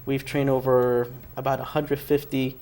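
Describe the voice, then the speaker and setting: A man's voice: mid-range voice, level tone, moderate pace, darkish tone, low-quality recording. A young man from Elizabeth, New Jersey, born in Ecuador, answering agreeably as an interview guest and often repeating phrases. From born in Ecuador, raised in Elizabeth, New Jersey. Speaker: interview guest, public servant at a training center.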